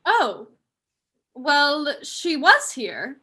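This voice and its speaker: A woman's voice: high voice, huge pitch swings, drawn-out words, bright, forceful tone, exaggerated voice. A young woman acting a chatty, breezy daughter of a country house in a staged play reading. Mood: breezy, helpful. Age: young adult. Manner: breezy, gossipy.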